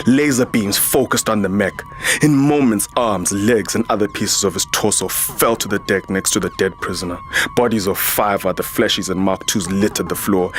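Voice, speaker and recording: A man's voice: on the low side, a bit bright, a little hiss or hum, natural voice. A man playing a jaded, vain warrior and security chief, narrating a science fiction audio drama with sardonic weariness. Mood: grim. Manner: sardonic, world-weary. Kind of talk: first-person scripted sci-fi narration.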